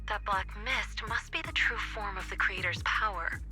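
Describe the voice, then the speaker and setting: A stern female master of a martial order in a video game. Her voice is very bright and forceful, with firm, measured authority, over soft background music. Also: mid-range voice. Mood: grave, measured.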